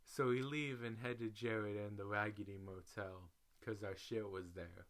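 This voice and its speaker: A man giving a dramatic, comedic storytelling read, animated when he quotes people. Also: on the low side, a little slow. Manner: animated, exclamatory in quoted lines. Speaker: reader.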